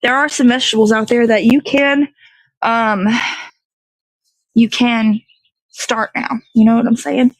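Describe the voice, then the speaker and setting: Higher voiced lady rambling on a casual morning stream outside, kinda thinking out loud, dry sound no echo. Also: steady tone, measured pace, pauses often. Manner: meandering, chatty, thinking aloud. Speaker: host of an online morning show. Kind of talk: casual rambling livestream chat. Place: outdoors in the cold.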